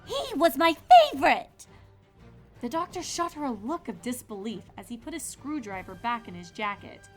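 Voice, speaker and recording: Female story narrator, higher-pitched and expressive, scripted read over soft music. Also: fairly quick, hardly any pauses. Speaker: narrator of a fan fiction reading.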